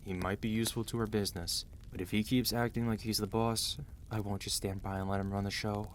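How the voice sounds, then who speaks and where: A man acting out a weary, protective mafia boss husband in an ASMR roleplay, commanding and dry yet gentle and intimate. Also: on the low side, flat delivery, a little fast, a little hiss or hum, close to an everyday voice. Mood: stern, businesslike. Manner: tired, authoritative, dry, intimate.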